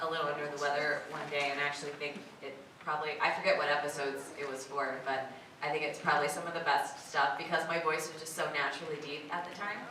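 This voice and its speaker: A hoarse, raspy woman with a low voice chats through fan questions as a convention panel guest, talking herself down with plenty of fillers. She is heard from well across a very echoey room. Steady background noise fills the hall. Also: quick pace, hardly any pauses, far from the mic. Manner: chatty and self-deprecating, many fillers. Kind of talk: casual panel Q&A answers. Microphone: filmed by a camera from across the room.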